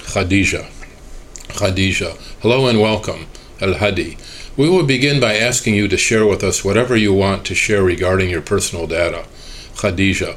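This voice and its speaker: A man reading aloud a translated oral history interview transcript in a steady, measured way, voicing both the interviewer and the interviewee. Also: on the low side, slight background noise.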